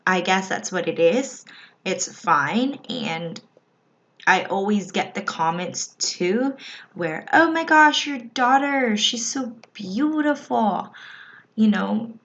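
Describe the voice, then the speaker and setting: A woman from the Philippines vlogging in a casual, reflective way, often pausing and restarting her thoughts. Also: medium pitch, lively intonation, fairly bright tone. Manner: slow, reflective, with frequent restarts. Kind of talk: personal casual vlog talk. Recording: slightly dull lo-fi recording.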